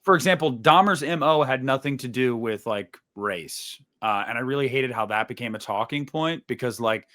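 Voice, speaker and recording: Male podcast panelist, medium pitch, informal and opinionated, talking through his views conversationally. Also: moderate pace, few pauses, fairly bright tone. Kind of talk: casual opinionated discussion.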